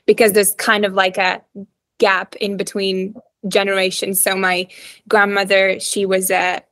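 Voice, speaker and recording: A woman in her twenties from Latvia with a Latvian accent, a podcast guest telling her faith story reflectively, with many pauses and ums. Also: medium pitch, completely flat delivery, a bit bright, no echo. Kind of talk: personal faith story in an interview.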